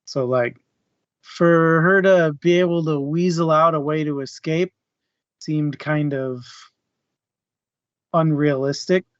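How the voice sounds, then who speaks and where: A man with a mid-pitched voice talks very slowly and casually as co-host of a book discussion. His sound is close and dry, with a slightly dull, lo-fi edge. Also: fairly even intonation, clear, resonant tone, no echo. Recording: dry, muffled online audio.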